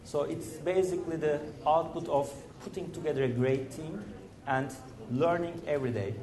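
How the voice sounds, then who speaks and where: A man speaking as a startup founder on a conference panel, earnest and measured, often filling pauses with "you know" and "uh". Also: on the high side, crowd noise. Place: conference stage in a large hall. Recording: echoey conference hall.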